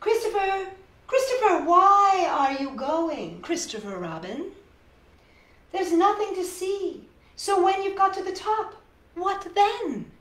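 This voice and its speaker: A woman reading a storybook aloud as a curious figure, calling out and questioning insistently in a drawn-out way. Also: very high voice, big pitch swings, fairly bright tone, voice pushed up, exaggerated voice. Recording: roomy echo.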